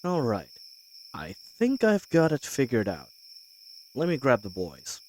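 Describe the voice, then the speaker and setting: A cocky bandit leader, acted by a man, talks in a folksy, wry way with lively swings of pitch. He is wary and scheming, speaking straight to his accomplice in a fantasy audio drama. Crickets and night insects sound faintly behind him. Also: unhurried, a little hiss or hum, rough recording. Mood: hushed, commanding. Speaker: voice actor in a scripted roleplay audio. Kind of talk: scripted fantasy audio roleplay, addressing the listener.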